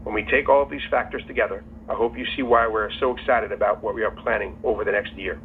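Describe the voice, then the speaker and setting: A man in the role of company executive gives a scripted earnings call presentation in a formal, measured way. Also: lowish voice, steady tone. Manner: formal, measured reading of prepared remarks. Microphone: telephone conference call. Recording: tinny phone line with faint noise.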